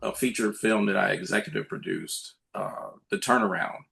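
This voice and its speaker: A man's voice: fairly low pitch, fairly even intonation, normal speed, gravelly, sharp tone, breathy edge. A man speaking informally as a film producer in a casual Q&A, piecing his memories together aloud. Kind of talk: casual question-and-answer chat.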